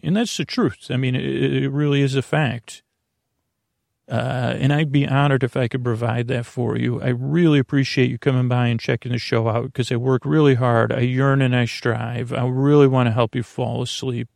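A man with a creaky voice hosting a bedtime podcast, giving a soothing, meandering monologue with hesitant, self-interrupting asides. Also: medium pitch, darkish tone, no room sound, clean studio sound. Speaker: podcast host.

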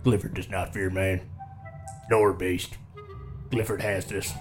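Gruff fantasy laborer, low and unhurried, terse, deadpan, third-person talker; big reverberant space, music bed. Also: male voice. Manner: blunt, terse, deadpan, clipped sentences. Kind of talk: comedic fantasy audio drama acting. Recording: long cavernous reverb, music behind.

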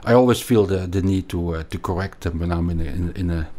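Finance guest: middle-aged Belgian man, accented, very deep voice, halting delivery. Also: mellow. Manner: hesitant, many repeated words and restarts. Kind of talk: conversational interview.

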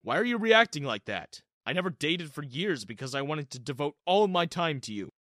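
A man voicing an indignant middle-aged father who speaks loudly and reproachfully. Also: on the high side, big pitch swings, moderate pace, no room sound, studio quality. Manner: loud, reproachful.

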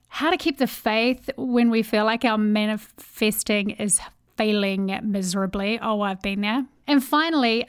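A woman's voice: on the high side, no echo, clean studio sound. A woman with a New Zealand accent hosting a podcast, reading an earnest, upbeat intro and then interviewing a guest. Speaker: podcast host.

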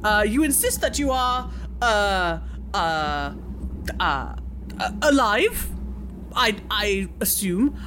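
A woman playing a curious, sceptical scientist, halting yet precise and formal, in an improvised role-play. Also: medium pitch, sing-song, fairly bright tone, slight background noise. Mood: curious, businesslike. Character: brisk, curious, sceptical female scientist investigator.

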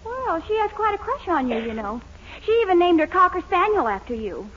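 Gushing young sweetheart, extremely high girlish voice, vintage radio sitcom, noisy recording. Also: big pitch swings, fairly quick, fairly bright tone, muffled recording, exaggerated voice. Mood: adoring. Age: young adult. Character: eager, lovestruck, determined young sweetheart. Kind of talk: 1940s radio comedy acting.

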